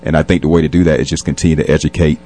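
A man speaking as a guest in a reflective radio interview, pausing often and choosing his words in a measured, hesitant way. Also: extremely low pitch, flat delivery, dark tone. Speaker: police captain and community leader, interview guest.

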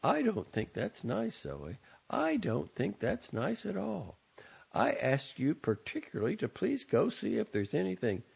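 A nagging, fretful middle-aged mother with a raised, swooping voice, as a male reader puts her on. She scolds in a husky, slightly gravelly tone that leaps up high. The recording sounds dull and lo-fi. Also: huge pitch swings, measured pace, darkish tone, full character voice. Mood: scolding. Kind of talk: audiobook character voice.

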